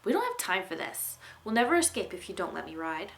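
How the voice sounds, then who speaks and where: A woman's voice: lowish voice, very animated, fairly quick. A woman reading the part of a fiery, stubborn young tribeswoman in a storybook, curt and insistent. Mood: curt, impatient.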